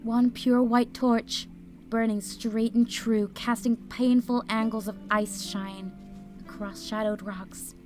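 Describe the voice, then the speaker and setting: An actress playing an exhausted young fire mage, narrating an audio drama in the first person in a dreamy, drifting way. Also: female voice, a little high, level tone, slow, darkish tone. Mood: dreamy, fading. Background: music.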